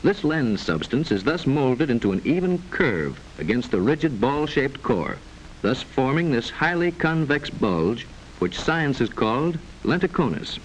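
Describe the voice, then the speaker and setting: A man narrating a mid-century educational film in a formal, measured, didactic way with precise enunciation, on an old film soundtrack. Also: mid-range voice, sing-song, slight background noise, rough recording.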